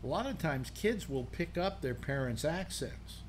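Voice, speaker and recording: A man's voice: a little high, lively intonation, a little slow. Older man hosting a video-call chat. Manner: rambling, musing aloud with many restarts. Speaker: host. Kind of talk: casual panel chat. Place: home room on video call. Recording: faint background noise.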